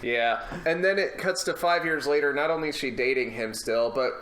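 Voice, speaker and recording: A man's voice: on the high side, moderate pace, a bit bright, slight background noise. A man bantering casually on a podcast, telling stories emphatically and with some swearing. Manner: profane, emphatic storytelling. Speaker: podcast co-host discussing films. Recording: roomy, echoey room.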